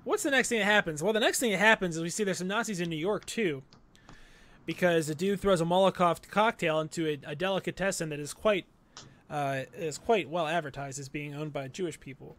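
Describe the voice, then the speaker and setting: A man's voice: fairly high pitch, fairly quick, fairly bright tone. A middle-aged man co-hosting a podcast, chatting casually and joking while he recaps, on a studio mic from home. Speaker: podcast co-host. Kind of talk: casual chat. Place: home room, indoors. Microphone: studio mic on a video call.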